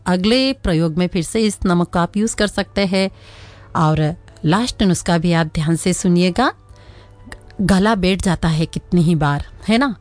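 A woman presenting a radio home-remedy segment. She speaks in a patient, instructional way, repeats each remedy slowly and often checks in with a rising "okay?". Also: lowish voice, dry recording.

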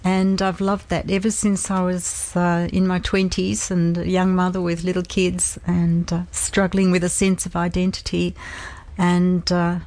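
An older woman with a slightly low voice speaks warmly and reflectively, with a few fillers. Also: completely flat delivery, measured pace. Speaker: group member.

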